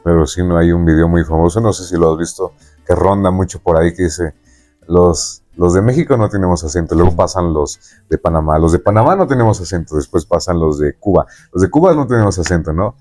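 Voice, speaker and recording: A man's voice: sits low, a little fast, dark tone, soft background music. A man with a Mexican accent hosting a conversational interview, talking in a rambling way and often checking in with tag questions. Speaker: podcast host interviewing a guest.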